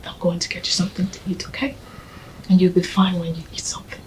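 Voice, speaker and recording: Woman acting mourning woman, mellow voice, soft, tearful, pleading, faint noise. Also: medium pitch, unhurried, natural voice, rough recording. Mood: comforting. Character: grieving young widow, later comforting a man.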